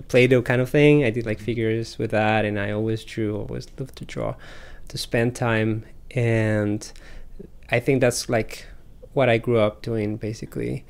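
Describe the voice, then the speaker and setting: A young man from Costa Rica, an artist chatting as a guest in a laid-back, hesitant way. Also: on the low side. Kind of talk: casual interview chat.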